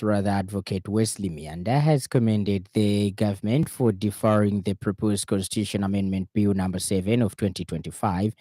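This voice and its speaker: A man with a Zambian accent reading a radio news bulletin in a formal, measured way from a studio. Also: lowish voice, warm tone, dry recording.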